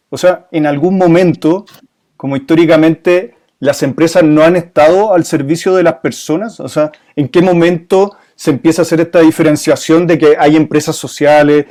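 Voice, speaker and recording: A man with a slightly raised pitch hosts an online interview. He frames his questions hesitantly, repeating and rewording them as he goes. His voice comes through a video call. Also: darkish tone. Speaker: webinar host. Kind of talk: casual interview conversation.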